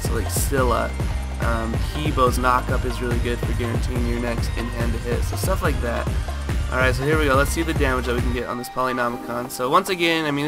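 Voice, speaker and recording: A man with a raspy, just-woken-up voice talking through a game item comparison in a relaxed way, full of fillers. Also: mid-range voice. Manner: relaxed, lots of fillers and hedges. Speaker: gaming video host. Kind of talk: casual game commentary. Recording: loud background music.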